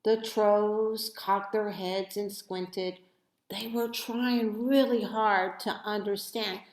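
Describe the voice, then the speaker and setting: A woman narrates a children's story in an echoey room. She takes it very slowly, with deliberate, dramatic phrasing and an expressive delivery at an average pitch. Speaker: storybook reader.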